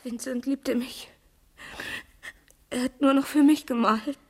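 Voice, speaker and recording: A woman's voice: high voice, steady tone, takes it slowly, darkish tone. An actress playing a scornful, lovelorn woman in a 1980s East German radio crime drama. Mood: wounded.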